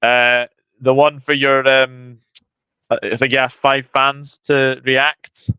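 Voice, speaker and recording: Scottish male guest, smooth clean voice, hesitant self-deprecating banter, dry and slightly muffled recording. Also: mid-range voice, level tone, slow, sharp tone, dry recording. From Scotland. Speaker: guest co-presenter, football fan. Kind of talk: casual chat.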